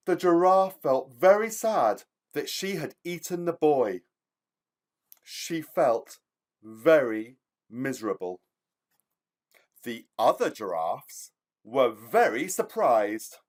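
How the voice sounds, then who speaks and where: An enthusiastic man presents and reads to young children as a storyteller. His pitch swings widely and he takes his time, leaning on words with theatrical emphasis. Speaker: children's author reading a child's story aloud.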